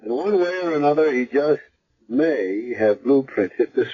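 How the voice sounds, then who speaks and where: An actor playing a calm, probing hospital chaplain with a measured, matter-of-fact manner in radio drama style. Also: male voice, mid-range voice, breathy edge. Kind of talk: 1970s radio drama acting. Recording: dull vintage radio recording.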